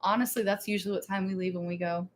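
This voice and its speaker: A woman's voice: mid-range voice, steady tone, fast talker. A woman co-hosting a casual theme park live stream, chatty and laughing, rambling with restarts.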